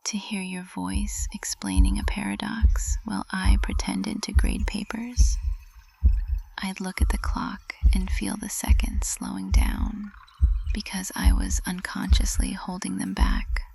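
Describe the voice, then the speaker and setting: A woman playing a composed witch professor unraveling with love in an intimate ASMR roleplay, whispering in a breathy, husky, halting and tender way. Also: medium pitch, a little mellow, full character voice, poor audio. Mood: yearning, confessing. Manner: slow, halting, tender, flustered.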